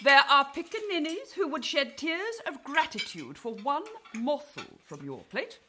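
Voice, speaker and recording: An actress playing a haughty, sharp-tongued lady of a 19th-century house in a radio play, with pompous, precise diction. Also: sits high, big pitch swings, a little slow, slight background noise, exaggerated voice. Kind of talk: period radio drama acting.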